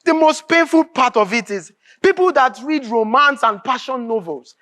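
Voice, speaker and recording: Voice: sits high, animated, normal speed, fairly bright tone. An actor with a Nigerian accent playing an anguished, bitter writer in a live stage drama, declaiming emphatically and repeating phrases. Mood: indignant. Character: anguished, bitter writer raging at a corrupt nation.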